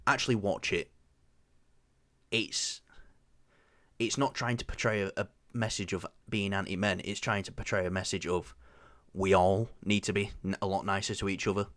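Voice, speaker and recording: A man's voice: low voice, fairly even intonation, moderate pace, fairly bright tone, no room sound. A young man with a Yorkshire accent co-hosting a movie review show, chatting casually with lots of fillers and restarts. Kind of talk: casual chat.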